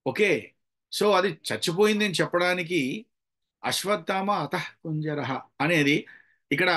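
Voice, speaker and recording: Guy, slightly high voice, teaching a class on speaking, explains stuff and asks the listener questions. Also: fairly bright tone. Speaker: public speaking trainer. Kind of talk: instructional talk with examples.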